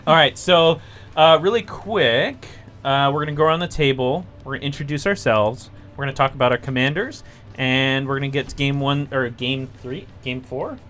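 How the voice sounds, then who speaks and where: A man hosting a casual card game stream, upbeat and quick with jokes. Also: medium pitch, a bit bright, faint music behind. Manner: upbeat, jokey, quick to tease. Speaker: stream host running the game.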